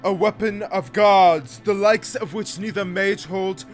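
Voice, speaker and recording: A man plays a pompous, smug fantasy tyrant with a fairly high male voice. He speaks slowly and grandly, savoring his own theatrical villainy, with faint music behind him. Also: fairly even intonation. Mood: menacing. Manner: grandiose, theatrical, slow speeches. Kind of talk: scripted audio drama acting.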